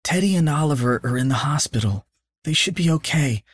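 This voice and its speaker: A man voicing a mother who quietly delivers grave news to her son. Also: on the high side, lively intonation, a little fast, breathy edge, pitched above the performer's own voice. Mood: somber.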